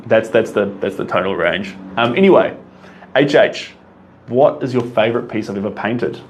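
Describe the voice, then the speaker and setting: A man's voice: on the low side, lively intonation, moderate pace, slight background noise. A young man with a New Zealand accent, an artist casually answering viewer questions, rambling enthusiastically with frequent ums and restarts. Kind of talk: casual Q&A monologue.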